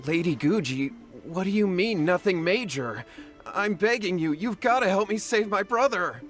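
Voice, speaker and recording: A man's voice: high voice, animated, normal speed, fairly bright tone, soft background music. A man voicing a desperate young man in a video game, earnest and anxious as he pleads for help. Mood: pleading.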